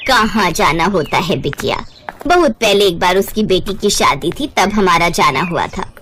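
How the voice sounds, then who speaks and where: A woman voicing a kindly elderly auntie in an animated storybook tale, talkative, affectionate and reassuring. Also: fairly low pitch, moderate pace, slight background noise, voice pushed down. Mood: friendly, chatty. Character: kindly elderly Gujarati woman, chatty cooking teacher.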